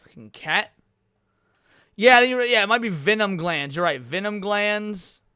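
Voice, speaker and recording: A man's voice: high voice, unhurried, bright, forceful tone, clear, resonant tone, no room sound, studio quality. A man reacting casually to a video on a livestream, talking in slang and swearing.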